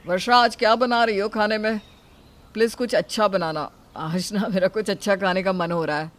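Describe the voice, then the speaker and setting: A man's voice: high-pitched, steady tone, normal speed, a bit bright. A man playing a young, food-loving husband who complains but cares, in an acted moral story. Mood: eager.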